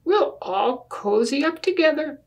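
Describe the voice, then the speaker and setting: Older woman playing a precocious little storybook girl, bossy, pompous sales pitch, unhurried, slightly creaky. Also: expressive. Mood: coaxing, firm. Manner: pompous, salesman-like, mock-reasonable.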